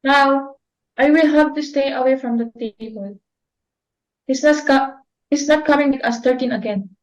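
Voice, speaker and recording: A woman's voice: sits high, normal speed, highs cut off, lightly acted. A woman playing the flustered, reluctant young daughter of a society hostess in a dramatic reading of a stage play, apologetic and protesting. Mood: anxious, reasoning.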